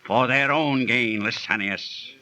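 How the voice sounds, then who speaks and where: An actor playing a stern, suspicious magistrate of ancient Greece, commanding and declamatory in 1930s radio style. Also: male voice, mid-range voice, takes it slowly, over a noisy crowd, lightly acted, rough recording. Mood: accusing. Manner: commanding, declamatory, archaic speech.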